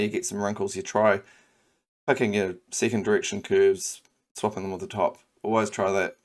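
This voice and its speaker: Low, level-voiced New Zealand man. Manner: relaxed, thinking aloud with many ums and restarts. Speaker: CAD design tutor. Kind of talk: screen-recorded software tutorial.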